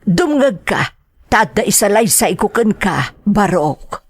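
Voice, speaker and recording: Defiant young Ilocano mother, animated delivery. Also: medium pitch, takes it slowly, husky, no echo, full character voice. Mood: confiding. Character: defiant, hot-tempered young single mother. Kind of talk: Filipino radio drama acting.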